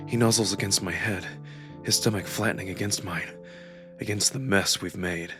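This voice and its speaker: A man performing dramatic first-person narration from a scripted story, with expressive, emotional emphasis. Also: sits low, moderate pace. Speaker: narrator and voice performer of a visual novel. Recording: music behind the voice. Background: music.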